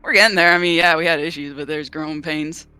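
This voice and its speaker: An upbeat man with a raised pitch and a strikingly bright, sharp voice talks casually about a game session. His tone is smooth and crisp, he laughs easily, and soft music sits faintly underneath. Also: fairly even intonation, fast talker. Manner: upbeat, laughs often, fillers. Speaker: player. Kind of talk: casual post-game chat.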